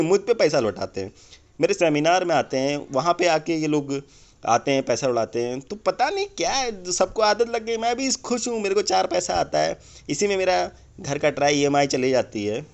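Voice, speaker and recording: A man playing a crude, irritable motivational speaker in a comedy phone-call sketch, ranting bluntly and swearing in a rambling, cynical way. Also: sits high. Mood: cynical, candid.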